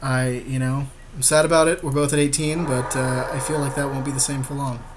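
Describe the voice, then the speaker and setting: A man's voice: mid-range voice, level tone, brisk, slight background noise. A man co-hosting a podcast, casually talking through plays in a card game. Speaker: podcast co-host.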